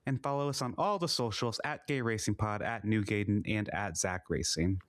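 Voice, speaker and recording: A man's voice: lowish voice, normal speed. A man co-hosting a podcast, a bit sick and slightly nasal, with dry humor in casual chat. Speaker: podcast co-host. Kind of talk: casual chat and show outro.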